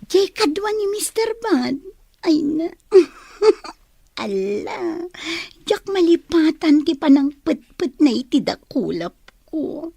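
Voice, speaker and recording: A woman playing a giggly, smitten young woman in a Filipino radio drama, with an expressive, teasing delivery and a Filipino accent. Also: sits high, slow pace, creaky, no room sound, clean studio sound, full character voice. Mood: giddy, teasing. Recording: radio drama with music underneath.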